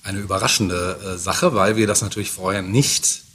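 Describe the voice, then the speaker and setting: A man's voice: low-pitched, normal speed, fairly bright tone, husky. Rambling man in his forties hosting. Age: 40s. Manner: rambling, hesitant with many fillers. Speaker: podcast host. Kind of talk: casual podcast chat. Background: faint music.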